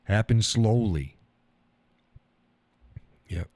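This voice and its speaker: A man's voice: on the low side, expressive, drawn-out words, dark tone, no echo. A man hosting a live stream show, talking bluntly and profanely. He hammers points by repeating phrases and reads out viewer chat comments.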